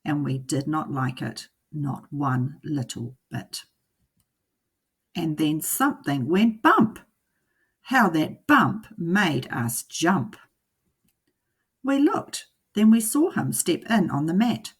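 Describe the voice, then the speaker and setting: A woman narrates a children's storybook like a teacher reading to her class. Her voice is on the low side and husky, and she reads slowly with animated rises and falls.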